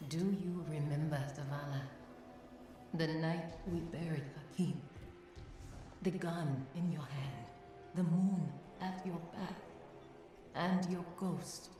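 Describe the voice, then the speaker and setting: Grave warrior, low echoing voice. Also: female voice. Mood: somber, reminiscing. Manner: measured, reflective. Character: weary, grave warrior woman recalling old griefs gently. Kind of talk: video game voice acting. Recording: very echoey, clear background ambience noise.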